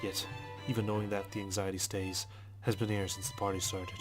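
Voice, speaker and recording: A man reading visual novel text aloud as a let's player, in a measured, understated storytelling manner with brief asides. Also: sits low, flat delivery. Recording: music behind the voice. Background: game music.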